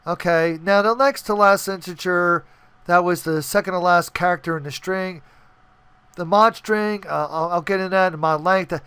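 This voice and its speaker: A man's voice: high voice, steady tone, normal speed, bright, forceful tone, clear, resonant tone, no room sound. A man hosting a casual screen-recorded coding tutorial, talking informally and often checking in with the listener. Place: indoors, voice-over on screen capture.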